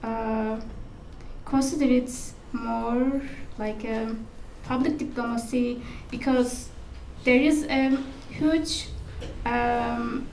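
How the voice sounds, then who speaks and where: Halting, high-voiced Turkish woman in echoey room. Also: steady tone, darkish tone. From Turkey. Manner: hesitant, halting, searching for words. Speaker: conference panelist, researcher. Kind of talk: panel question-and-answer. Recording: echoey room, distant mic, faint noise.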